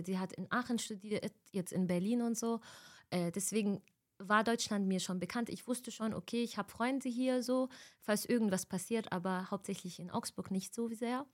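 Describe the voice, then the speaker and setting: Young woman from Egypt, twenties, casual interview chat, fillers, laughs often. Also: medium pitch. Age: 20s. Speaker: interviewee, student.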